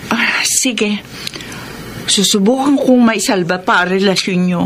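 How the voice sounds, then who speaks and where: An actress playing a concerned, frank older sister with a Filipino accent in a radio drama. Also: female voice, medium pitch, unhurried, fairly bright tone, a little hiss or hum, lightly acted.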